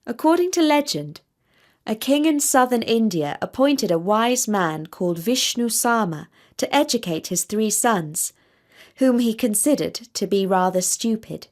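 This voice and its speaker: A woman storyteller narrating a scripted children's tale in measured, deliberate phrases. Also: medium pitch.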